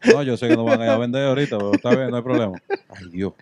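A man's voice: medium pitch, wildly swooping pitch, vocal fry, a loud crowd. A man with a Dominican accent hosting a casual interview, joking and teasing his guest. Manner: joking, teasing, quick asides and laughter. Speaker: interview host.

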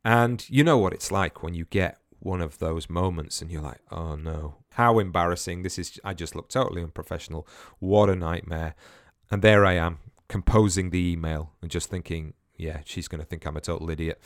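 Man, podcast host, deep voice, dry recording, rambling casual talk. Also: normal speed, dry recording. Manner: chatty, rambling with many restarts. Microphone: remote-recording podcast setup with headphones.

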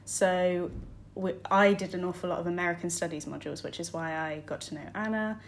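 A woman in her twenties co-hosting a podcast, relaxed and friendly, laughing often as she talks. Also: on the low side, a little fast, slight background noise. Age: 20s. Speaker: podcast co-host. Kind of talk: casual chat.

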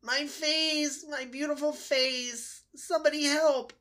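A man's voice: fairly high pitch, very animated, normal speed, fairly bright tone, voice pushed up. A man voicing a vain, hungry male fox in an animal story, with a demanding, dramatic manner. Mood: pained, whining.

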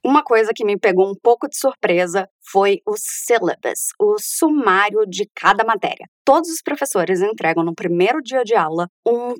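A woman's voice: medium pitch, animated, normal speed, few pauses, no room sound, studio quality. A young woman from Brazil, a journalism student, talking casually and with enthusiasm about her studies abroad, laughing now and then. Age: young adult. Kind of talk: casual personal account.

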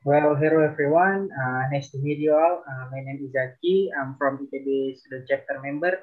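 A young man, a student chapter member, introducing himself briefly and haltingly in an online meeting. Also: medium pitch. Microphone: video call. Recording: tinny phone-like call audio.

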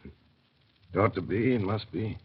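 An actor playing a dissolute, bitter, hard-drinking gentleman in an old radio drama, with a slurred, rambling, sardonic drawl. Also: lowish voice, slow, slightly rough, exaggerated voice. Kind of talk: 1930s radio drama acting. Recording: muffled old radio broadcast.

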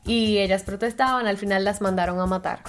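A woman's voice: mid-range voice, steady tone, fairly quick, faint music behind. A woman with a Dominican accent, co-hosting a casual talk show and explaining a topic with frequent fillers and restarts. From Dominican Republic. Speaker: co-host. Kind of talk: casual radio chat.

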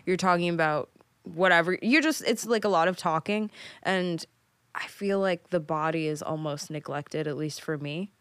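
A young woman in her twenties co-hosts a podcast in a chatty, upbeat way, full of "like" and "um." Her voice sits a little low and sounds smooth. The recording is close and dry. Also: normal speed, fairly bright tone, no room sound. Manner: upbeat, chatty, filler words like "like" and "um". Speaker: podcast producer and co-host. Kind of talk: casual podcast chat.

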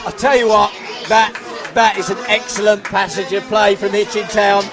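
Excitable high-voiced football commentator. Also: male voice, moderate pace, over music. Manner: excitable, shouts at goals, self-mocking. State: losing his voice. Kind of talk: live play-by-play commentary. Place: outdoors at a football ground. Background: crowd noise.

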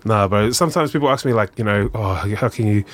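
A young man with a New Zealand accent, talking as a podcast guest in a relaxed, rambling way full of fillers. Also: on the low side, a little fast, no echo. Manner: relaxed, rambling, lots of "you know" and "um", says "bro". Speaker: guest, charity founder. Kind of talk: casual podcast conversation.

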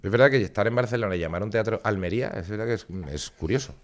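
A young man from Andalusia, co-hosting a casual comedy podcast with a playful, deadpan manner and sudden loud bursts. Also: on the low side, rapid-fire. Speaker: podcast co-host.

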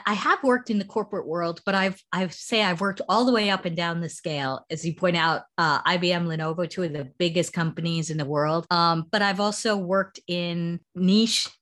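A woman's voice: fairly low pitch, moderate pace, few pauses, a bit bright. A middle-aged American woman, a startup founder, answering interview questions thoughtfully, with frequent ums and restarts. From United States.

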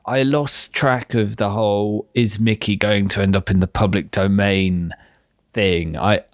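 A man co-hosting a podcast in casual chat, husky from illness, chatty and wry. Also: lowish voice, steady tone, unhurried, no echo, clean studio sound. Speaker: podcast co-host.

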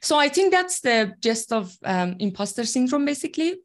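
A woman's voice: high voice, very animated, normal speed. Iranian-born woman, farsi native, tech lead guest chatting, earnest, full of ums and likes. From Iran. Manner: earnest, explaining from experience, many fillers. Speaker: guest, tech team leader. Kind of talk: interview conversation.

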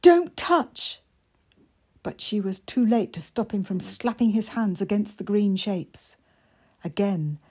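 Female fiction narrator: measured, expressive, slow-paced, with a breathy, husky edge. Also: medium pitch. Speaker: author reading own fiction. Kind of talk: scripted story narration.

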